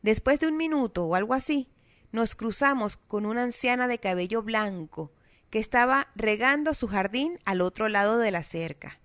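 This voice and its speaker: Woman, South American Spanish accent, fairly high voice, expressive storybook reading, dry close sound. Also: moderate pace, no echo. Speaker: narrator. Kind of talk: scripted storybook narration.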